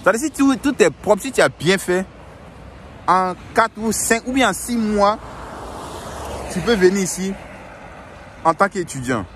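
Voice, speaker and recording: A man's voice: sits high, animated, unhurried, pauses often, a bit bright, a little hiss or hum. A young man giving earnest, repetitive advice in a casual monologue, often restarting his sentences.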